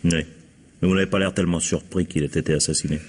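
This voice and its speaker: An actor playing a shrewd, wry police inspector in a French radio mystery, probing with ironic politeness and gruff impatience. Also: male voice, sits low, fairly even intonation, quick pace. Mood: polite, probing.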